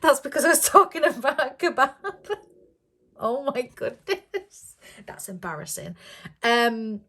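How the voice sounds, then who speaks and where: A woman chatting casually to camera about budgeting, rambling with lots of ums and little giggles, her voice a bit croaky. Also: high-pitched, big pitch swings, slow, sharp tone. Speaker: budgeting vlogger.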